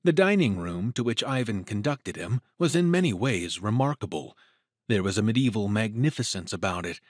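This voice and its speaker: A man narrates a dramatic story in a husky, mid-pitched voice. His delivery is very expressive, in a close, dry recording. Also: normal speed, no room sound.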